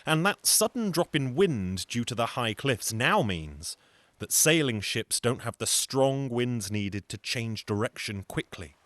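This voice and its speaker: A man's voice: mid-range voice, sing-song, measured pace, fairly bright tone, no room sound. A man telling a folktale as a storyteller, in a dramatic, theatrical narrating style.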